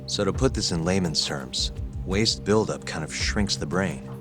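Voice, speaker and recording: A cool tough guy in a game drama with a very deep voice. He is laconic and offhand, with dry impatience. Music is clearly audible behind him. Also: animated, moderate pace. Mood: cool curiosity. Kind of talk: English video game dub, dramatic dialogue. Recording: clear background music under the voice.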